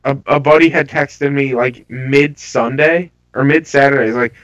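A man with a stuffy, under-the-weather voice, chatting casually about games with halting restarts. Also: medium pitch, steady tone, fairly bright tone. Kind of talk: casual gaming chat. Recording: slightly dull lo-fi audio.

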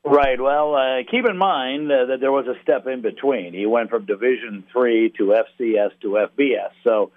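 Halting, wry man on a phone line. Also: mid-range voice. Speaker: sports play-by-play announcer, interview guest. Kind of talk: conversational interview. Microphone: telephone.

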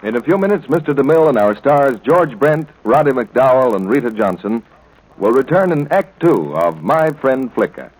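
A man's voice: medium pitch, sing-song, normal speed, a little mellow, slight background noise, rough recording. A man working as announcer and interviewer, reading in a polite, polished, scripted 1940s radio style. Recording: vintage 1940s radio recording.

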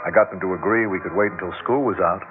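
An actor playing a steady, practical husband and father in a 1960s radio drama, matter-of-fact and mildly apologetic. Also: low-pitched, quick pace, natural voice. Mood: resigned. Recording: tinny 1960s radio recording, music behind.

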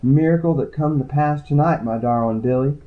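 A weary, sniffling young seeress speaks softly and earnestly, as a male narrator puts her on, his voice lifted well above its usual register. The sound is thin and tinny, like a voice heard down a phone line. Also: on the high side, fairly quick, pitched above the performer's own voice, close to an everyday voice. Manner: soft, tired, earnest.

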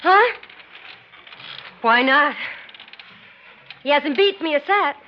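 A woman's voice: high voice, lively intonation, slow pace, a little hiss or hum. An actress playing a cocky but naive young American woman athlete in a 1960s radio drama, speaking in a blunt, informal way. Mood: eager, questioning. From United States. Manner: blunt, informal, matter-of-fact. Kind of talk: 1960s radio acting, first-person narration and dialogue. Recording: muffled 1960s radio recording with music.

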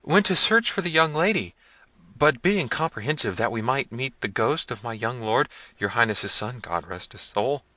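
A man acts out a terrified castle servant in a gothic storybook. The voice is pitched high and sharp, flustered and pleading as he rambles deferentially. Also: animated, moderate pace, no echo. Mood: terrified, babbling. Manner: flustered, pleading, long-winded. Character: frightened, babbling, deferential male castle servant. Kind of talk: dramatised gothic novel reading.

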